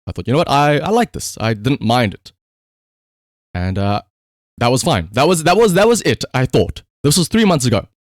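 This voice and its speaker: A man's voice: medium pitch, big pitch swings, moderate pace, dry recording, clean studio sound. A man livestreaming casual commentary, telling a story conversationally with rhetorical asides.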